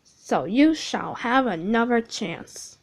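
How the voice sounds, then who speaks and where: A stern but fair older male railway boss from a storybook who speaks in a firm, measured way, as a woman reads him. His delivery is unhurried. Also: a little high, animated, exaggerated voice.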